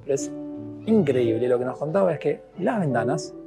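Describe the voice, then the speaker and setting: A man's voice: a little high, lively intonation, normal speed, gravelly, loud music behind the voice. A man gives enthusiastic walking-tour commentary to camera, talking straight to his viewers. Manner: enthusiastic, addresses viewers directly. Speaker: travel vlogger. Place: busy outdoor market streets in an old medina. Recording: busy crowd noise outdoors.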